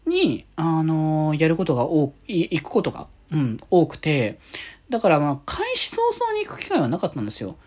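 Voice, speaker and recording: A woman hosting a podcast, chatting casually on her own in halting, trailing phrases. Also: deep voice, wildly swooping pitch, a little mellow. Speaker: podcast host. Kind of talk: casual solo chat about fan events.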